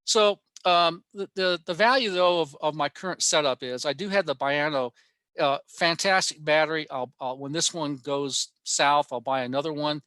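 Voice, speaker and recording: A man giving an informal technical presentation online, pausing often in mid-sentence as he explains. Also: a little high, bright, forceful tone, dry recording. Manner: halting, with frequent mid-sentence pauses. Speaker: amateur radio club presenter. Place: online workshop talk.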